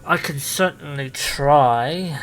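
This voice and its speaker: Man improvising an eccentric time traveller, slow, halting, lots of ums, slightly high voice, faint noise. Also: fairly bright tone, rough recording. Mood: hesitant. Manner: hesitant, full of ums and uhs. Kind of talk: improvised roleplay.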